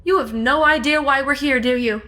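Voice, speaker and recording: Woman playing a high-voiced, sharp, practical sleuth. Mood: questioning. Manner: direct, brisk, dry. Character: sharp, practical, take-charge female amateur sleuth. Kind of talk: audio drama acting.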